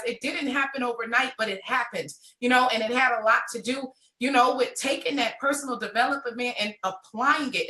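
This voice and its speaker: A woman around thirty, a network marketing entrepreneur, telling her success story in an animated, motivational way during a live conversation. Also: high-pitched, echoey room, poor audio.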